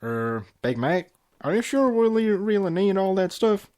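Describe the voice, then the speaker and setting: Man voicing a high-pitched, stubborn young cartoon mare. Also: sing-song, normal speed, darkish tone. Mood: doubtful. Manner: insistent, emphatic. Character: stubborn, fussing young female cartoon pony.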